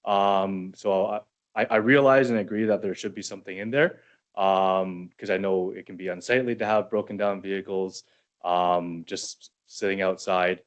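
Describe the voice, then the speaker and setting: Man, business owner, low voice, hesitant, lots of ums. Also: fairly even intonation, normal speed. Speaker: business owner applying for a permit.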